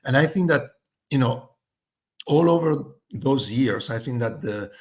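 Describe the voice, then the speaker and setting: A man's voice: mid-range voice, animated, a little slow. A middle-aged man from Spain, talking as a guest in an interview, thoughtful and full of fillers and restarts. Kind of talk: casual interview chat.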